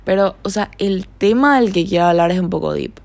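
A woman's voice: mid-range voice, a little fast, a little mellow, dry recording. A young woman from Paraguay with a Paraguayan accent tinged with Argentine tones, rambling in a casual solo podcast from her bedroom. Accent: Paraguayan Spanish with some Argentine tone. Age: young adult. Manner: rambling, chatty, filler-heavy. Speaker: podcast host.

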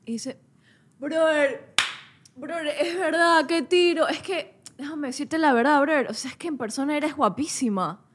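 A woman's voice: sits high, normal speed. A woman with an Ecuadorian accent, a podcast co-host telling anecdotes in a blunt, slangy, casual way.

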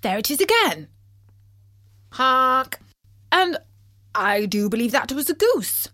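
A woman's voice: high voice, big pitch swings, takes it slowly, bright, forceful tone, no room sound, clean studio sound, voice pushed up, exaggerated voice. Woman as a cheery, reassuring animal fellow, lilting. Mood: curious. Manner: musing, reassuring, slightly old-fashioned speech. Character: cheery male animal companion, reassuring and curious.

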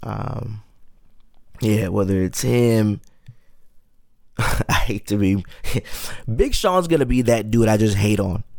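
A man's voice: lowish voice, takes it slowly, creaky, pauses often, a little mellow, no room sound, studio quality. A man in his late twenties hosting a solo podcast, rambling through loose, profane casual commentary. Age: 20s. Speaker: podcast host.

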